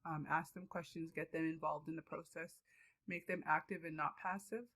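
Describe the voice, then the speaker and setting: Lower-pitched woman presenting informally. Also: steady tone, moderate pace, fairly bright tone. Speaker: student teacher presenting.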